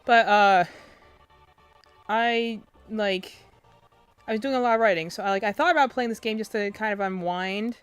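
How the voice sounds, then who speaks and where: A young woman with a quiet, soft voice chatting casually on a game stream, rambling with lots of fillers and restarts, close to her desk mic. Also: mid-range voice, moderate pace, long pauses, clear, resonant tone, soft background music. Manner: rambling, filler-heavy, self-interrupting. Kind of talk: casual live-stream chat. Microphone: desk mic close to face.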